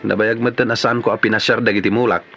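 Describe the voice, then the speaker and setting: A man's voice: lowish voice, steady tone, fast talker, gravelly, bright, forceful tone, faint music behind, exaggerated voice. A man with an Ilocano Filipino accent playing a stubborn, grumbling village man in a radio comedy drama.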